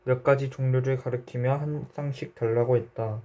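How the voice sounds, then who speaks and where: A man narrating a literary short story in a measured, reflective way. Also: mid-range voice, steady tone, mellow.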